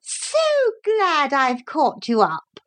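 A woman's voice: sits high, wildly swooping pitch, very slow, fairly bright tone, pitched above the performer's own voice, heavily acted. A woman voicing a smug, bossy grown-up lady villain in a storybook, falsely sweet and condescending. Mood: falsely delighted. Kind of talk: storybook character voice.

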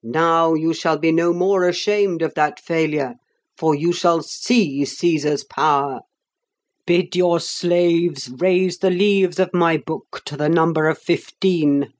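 A solemn, imperious ancient Roman commander from a storybook, played by a woman reader. The delivery is very slow, stately and formal, declaimed like a proud general giving orders. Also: low voice, steady tone, darkish tone.

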